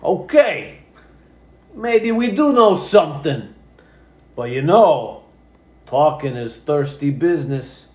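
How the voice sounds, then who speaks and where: A man's voice: on the high side, very animated, takes it slowly, pauses often, a little mellow. Man as a cagey, sly wisecracker, roomy echo. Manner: sly, evasive. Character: cagey, wisecracking bar patron keeping secrets. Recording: roomy, echoing sound.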